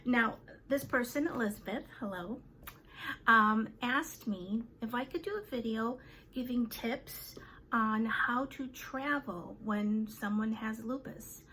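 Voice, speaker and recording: Vlogger, woman, 50s, talkative and unscripted, somewhat high voice. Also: bright, forceful tone, slight background noise, rough recording. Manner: chatty, with many fillers and trailing pauses. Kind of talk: casual chat to camera.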